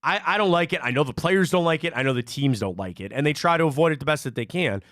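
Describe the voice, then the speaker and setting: A sports talk host from Cleveland with a high voice that swoops all over as he tells stories and repeats his points. The sound is dry and close, with little room around it. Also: fast, no echo. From Cleveland, Ohio. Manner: animated storyteller, repeats himself. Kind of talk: live sports talk show.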